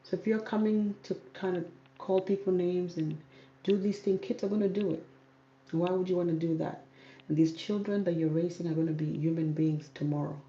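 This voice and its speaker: A woman's voice: lowish voice, steady tone, normal speed, dark tone. A young woman who hosts a hair channel answers viewer comments on a livestream, firm and repeating herself for emphasis. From South Sudan, raised in Kenya. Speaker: YouTube hair channel host. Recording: roomy, dull audio with faint noise.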